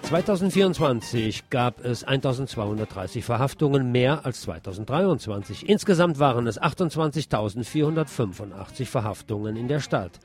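A man reads scripted news as a presenter. His voice sits at an average male pitch. Music plays behind him. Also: lively intonation, fairly quick, hardly any pauses.

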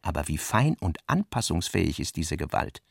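A man's voice: low voice, big pitch swings, normal speed, creaky, dry recording, clean studio sound, voice pushed down, heavily acted. A male narrator voicing an earnest middle-aged businessman father, who argues insistently and rhetorically with his daughter in a literary radio drama. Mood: persuasive.

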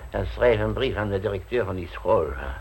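A man's voice: fairly low pitch, a little fast, vocal fry, a little mellow, slightly rough. An actor playing a grumpy, tight-fisted wealthy man in a radio play, stammering and repeating himself as he protests. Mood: indignant. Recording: noisy old radio play recording.

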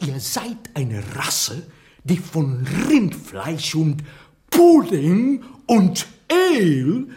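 An actor in a radio drama playing a proud, scornful, hot-tempered Spanish playwright in a haughty, theatrical rant. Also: male voice, fairly high pitch, big pitch swings, extremely slow, darkish tone, exaggerated voice. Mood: contemptuous. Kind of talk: German radio drama acting.

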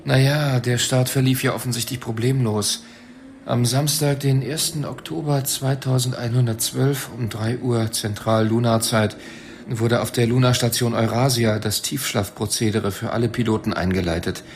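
An actor playing a bewildered, irritable astronaut just woken from cold sleep in a science fiction radio drama. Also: male voice, mid-range voice, steady tone, normal speed, a little hiss or hum, natural voice. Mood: grumbling. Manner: agitated, cursing.

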